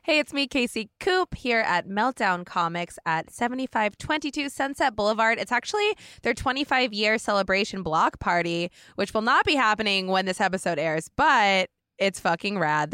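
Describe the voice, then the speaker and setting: A young woman hosting a casual podcast interview, candid and quick to laugh, swearing freely. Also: mid-range voice, lively intonation, a bit bright, smooth, no room sound, clean studio sound. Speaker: podcast host. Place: comedy shop at a party.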